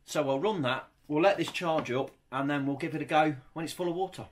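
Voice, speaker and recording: A man's voice: a little high, brisk. A man in his forties, talking himself through a hands-on product review in a home garage, on a camera mic a few feet away. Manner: chatty, talks himself through each step. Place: home garage workshop, indoors. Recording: noisy garage recording.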